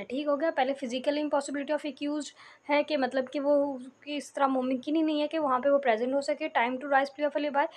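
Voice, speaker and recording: A woman with a distinctly high voice and a Pakistani accent teaches a lesson. She reads out English phrases slowly and carefully, then explains them more quickly, often rounding off a point with a brief check that it is understood. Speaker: law lecturer. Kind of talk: instructional lecture, explaining and reading out notes.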